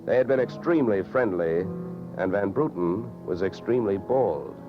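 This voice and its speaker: An actor playing a wry, hard-boiled insurance investigator in old radio drama, dry and wisecracking. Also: male voice, on the low side, lively intonation, moderate pace, music in the background, natural voice. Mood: wry narrating. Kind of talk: 1940s-50s radio detective narration. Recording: muffled vintage radio recording.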